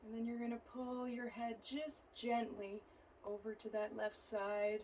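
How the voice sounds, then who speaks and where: Female yoga instructor, gentle guided-relaxation delivery, flat even intonation, slight background noise. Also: on the high side. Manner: soothing, gentle, unhurried phrasing.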